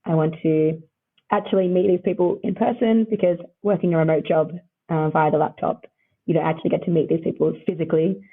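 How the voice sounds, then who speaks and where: A woman with a croaky voice, chatting upbeat as a podcast guest and laughing often between answers. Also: low voice, steady tone, fairly quick. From Australia. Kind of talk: casual interview chat. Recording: tinny phone-like line.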